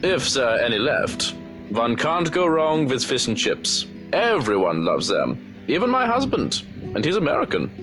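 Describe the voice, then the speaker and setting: Very animated, theatrical cook. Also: male voice, medium pitch, normal speed, music in the background. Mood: cheerful. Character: fussy, jovial male cook ruling his kitchen. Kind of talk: visual novel character acting.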